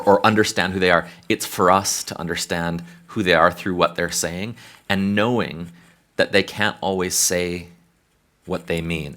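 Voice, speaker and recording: American male consultant, very low voice, thoughtful panel talk with restarts. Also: fairly bright tone. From United States. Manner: thoughtful, hesitant restarts, conversational. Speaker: behavioral science consultant.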